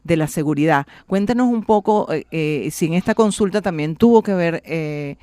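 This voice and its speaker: A woman's voice: deep voice, expressive, moderate pace, warm tone, dry recording. A woman hosting a radio interview, searching for words and restarting often as she asks her questions.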